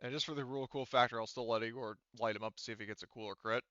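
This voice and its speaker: A man's voice: medium pitch, fairly even intonation, rapid-fire, pushed and bright, no echo. A man running a tabletop game as game master, narrating casually and informally with some swearing, on slightly dull audio.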